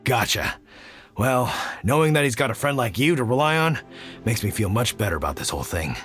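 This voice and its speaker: A middle-aged man voicing an easygoing, fatherly hunter who talks in a relaxed, thoughtful way. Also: mid-range voice, fairly quick, faint music behind. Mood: warm. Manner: relaxed, thoughtful, frequent sighs. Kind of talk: game cutscene voice acting.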